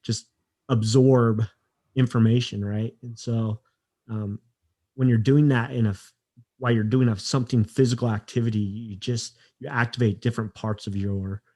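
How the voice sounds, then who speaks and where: Halting mid-pitched male podcast host. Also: fairly even intonation, warm tone. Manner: halting, repeats words as he thinks. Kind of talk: casual interview chat.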